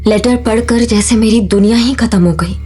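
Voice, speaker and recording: A woman's voice: on the high side, normal speed, dark tone, faint music behind, natural voice. A woman playing a defiant young mother who tells and acts her own story in an emotional audio drama style. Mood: grave narration. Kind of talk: first-person audio drama narration and acting.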